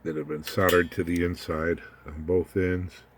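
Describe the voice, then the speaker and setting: An older man giving a casual, unscripted walkthrough as a hobbyist presenter, in a folksy, halting way with wry asides. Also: deep voice, little pitch movement, heavy background noise.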